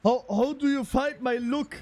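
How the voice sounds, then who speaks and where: High-voiced excitable young man. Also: a little hiss or hum. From Luxembourg. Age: 20s. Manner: excitable, repeats words in quick bursts. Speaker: streamer playing Roblox. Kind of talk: playful casual voice-chat banter.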